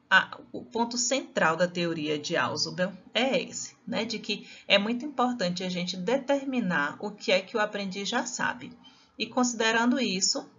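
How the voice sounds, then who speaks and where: A woman's voice: medium pitch, fairly bright tone. Bahian woman teacher, chatty lecturing style with constant tag questions; muffled, lo-fi recording. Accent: Bahian Brazilian Portuguese. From Salvador, Bahia, Brazil. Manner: conversational, frequent tag questions and restarts.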